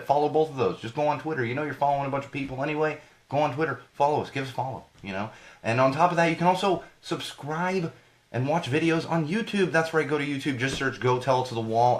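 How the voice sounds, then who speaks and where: A man hosts a live podcast, his voice congested and a little hoarse from a cold. He rants casually, repeats his phrases and talks straight to the people watching. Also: mid-range voice. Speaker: podcast host.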